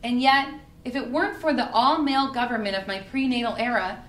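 A woman's voice: a little high, fairly quick. A young woman reading a humorous essay aloud to a live audience with a wry, performative delivery. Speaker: reader at a book reading. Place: indoor party room with live audience. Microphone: podium microphone on a stand. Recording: roomy live event with faint noise.

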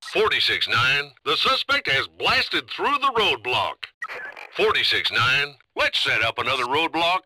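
Game police officer, intense and clipped, very forceful, lively pitch, over radio. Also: male voice, measured pace, full character voice, poor audio. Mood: urgent. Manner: clipped, urgent. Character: intense, urgent male highway patrol officer. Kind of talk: video game radio chatter.

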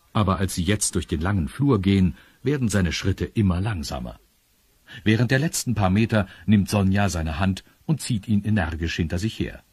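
A man with a husky voice reading scripted narration for a children's audio story. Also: low-pitched, a little mellow, dry recording. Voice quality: husky, raspy. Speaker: narrator of a children's radio play.

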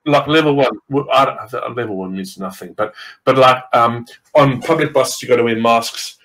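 A man's voice: medium pitch, normal speed, fairly bright tone, rough recording. A middle-aged man from Zimbabwe, a podcast guest chatting casually on a video call from home, rambling with many fillers and restarts. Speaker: podcast guest, wreck diver. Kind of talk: casual chat. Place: home room with window.

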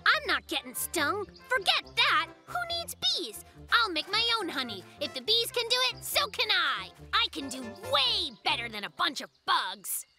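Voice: extremely high pitch, huge pitch swings, normal speed, few pauses, over music, exaggerated voice. An actor voicing a cocky, playful, inventive young bear cub in a children's cartoon, exclaiming and using silly invented words. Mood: grumbling, cocky.

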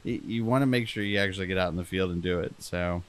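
A man's voice: low voice, fast talker. A young man chatting casually as a podcast co-host, rambling and self-deprecating with frequent restarts.

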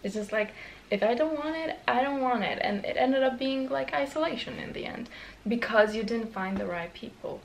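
A woman's voice: fairly high pitch, lively intonation, moderate pace, vocal fry, a little hiss or hum, rough recording. A woman in her twenties with a Romanian accent, talking candidly to camera in a small bedroom, rambling with many fillers and restarts. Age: 20s. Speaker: vlogger. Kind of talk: casual talk to camera.

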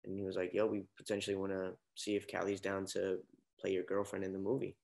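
Young actor guest, sincere, stumbles and repeats, on a video call. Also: male voice, deep voice, completely flat delivery, vocal fry, a little mellow. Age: young adult. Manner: halting, repeats words, earnest. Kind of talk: casual interview chat. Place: indoor room, video call.

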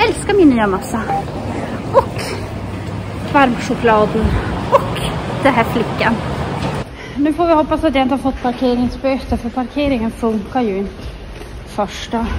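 Lively laughing woman vlogging on phone. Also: on the high side. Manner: laughing, swearing, lively storytelling. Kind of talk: casual vlog chat. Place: breakfast room, shopping mall and parked car. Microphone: phone held at arm's length. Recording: noisy, with crowd chatter behind.

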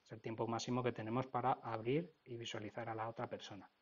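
A man's voice: mid-range voice, flat delivery, quick pace, lo-fi sound. A man with an accent from Spain narrating an informal technical tutorial, explaining step by step and often checking in. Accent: Spain Spanish. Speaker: technical instructor.

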